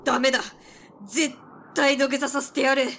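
A woman acting a fierce, protective, rough-tongued tomboy girl in an audio drama. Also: high-pitched, level tone, moderate pace, fairly bright tone, a little hiss or hum. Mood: angry.

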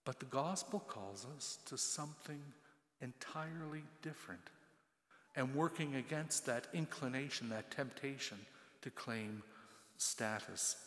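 Older man, parish priest, homily at the ambo; unhurried, emphatic, roomy church sound. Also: medium pitch, a bit bright. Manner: slow, gesturing, emphatic pauses between listed words. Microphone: gooseneck pulpit mic. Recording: echoey church with long reverb.

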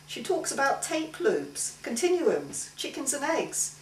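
Woman acting stubborn teasing man, storybook painter, animated, echoey room, distant mic. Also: sits high, unhurried, voice pushed up. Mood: insistent. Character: male time-travelling painter, impatient, teasing, stubborn. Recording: roomy, distant mic, faint hiss.

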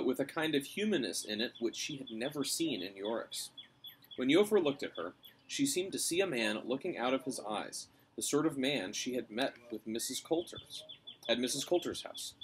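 A man's voice: medium pitch, rough recording. A man in his thirties reading a story aloud on a porch, recorded by a camera a little way off. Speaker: reader of a children's novel. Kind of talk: read-aloud storytelling with brief asides. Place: outdoors on a covered porch. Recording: clear outdoor ambient noise.

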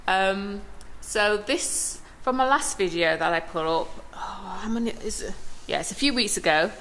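A woman strolls through her small shop and café with a handheld phone, talking in an easy, unhurried way. Her voice sits at an ordinary middle pitch. A faint hum of street and room noise sits behind her. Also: rough recording. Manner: chatty and relaxed, with small laughs. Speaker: shop owner giving a tour. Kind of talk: casual walking tour vlog. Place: small shop and café, indoors and on the pavement outside. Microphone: handheld phone camera.

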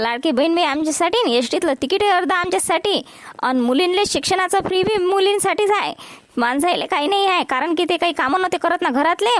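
A woman's voice: extremely high pitch, dry recording. A woman playing a bossy, scornful village wife in a comedy cartoon, scolding in a Vidarbha Marathi accent. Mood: scornful pride. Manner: scolding, ordering around.

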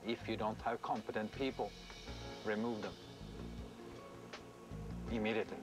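A man's voice: on the low side, fairly even intonation, normal speed. A man, a ship captain sternly addressing his officers at a meeting. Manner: stern, commanding. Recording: music bed underneath.

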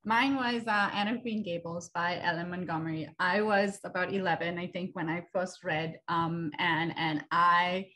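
A woman in her thirties from India, an author speaking casually on a panel over a video call, animated and full of fillers. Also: on the low side, normal speed, hardly any pauses. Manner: animated, gestures with hands, many fillers. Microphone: video call webcam.

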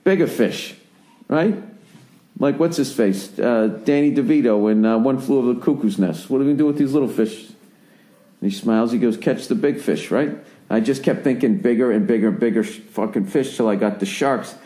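A man's voice: mid-range voice, a little fast, dark tone. A man in his forties with an American accent, ranting and telling stories as a podcast host in casual chat. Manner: rambling, profane, ranting storyteller. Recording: roomy, echoey recording.

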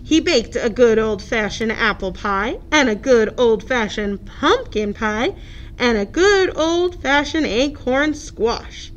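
A woman's voice: a little high, lively intonation, slow pace, muffled recording, a little hiss or hum. A woman narrating a children's picture book expressively, as a librarian at story time.

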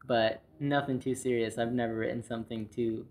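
A young man with a soft voice chatting easily in a garage studio, full of "like" fillers and quick laughs. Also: medium pitch, little pitch movement. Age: young adult. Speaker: guest singer-songwriter. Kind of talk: casual interview chat. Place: garage studio with leather couch. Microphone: studio mic on stand.

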